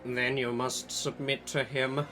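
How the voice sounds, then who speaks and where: A man's voice: medium pitch, little pitch movement, a little slow, music in the background, lightly acted. A man voicing a menacing, scornful wizard schoolmaster who makes threats in a comic story reading.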